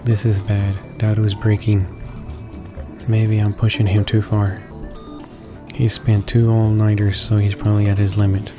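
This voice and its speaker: A man narrates a visual novel for a let's play in a lowish, strikingly monotone voice. He reads from the screen and trips on the odd word, with game music clearly behind him. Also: moderate pace. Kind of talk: read-aloud narration of game text. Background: game background music.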